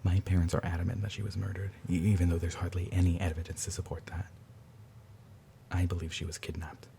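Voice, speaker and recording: A man playing a grieving older brother who speaks quietly and haltingly. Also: sits low, flat delivery, vocal fry, a little hiss or hum. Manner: halting, quiet, restarts under strain.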